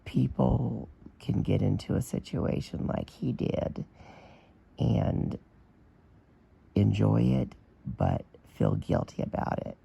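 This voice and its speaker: A man with a badly hoarse, strained voice holds forth in a slow, unhurried vlog. His tone is dark and heavily gravelly, with a raspy rough edge throughout. He talks bluntly, in a plain opinionated way, with fillers. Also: on the low side, sing-song, poor audio. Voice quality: damaged, strained voice. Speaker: vlogger. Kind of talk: casual commentary to camera.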